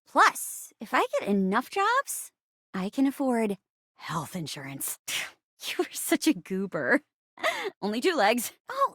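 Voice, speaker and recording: A high-voiced young actress chair come to life for a video game. She is earnest and casual, with a very animated, swooping delivery. The sound is close and dry. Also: dry recording, full character voice. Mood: cheerful, friendly. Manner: animated, earnest, casual, halting when uncomfortable. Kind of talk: video game character dialogue.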